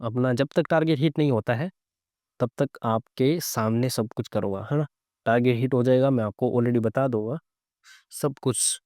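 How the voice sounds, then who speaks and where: A man with a soft, mellow voice, recorded close and dry. His throat is a little sore. He teaches chart reading as a casual live commentary, talking conversationally and often tacking on little questions to check that listeners follow. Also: mid-range voice, moderate pace, no echo. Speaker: trading instructor and live-trade host.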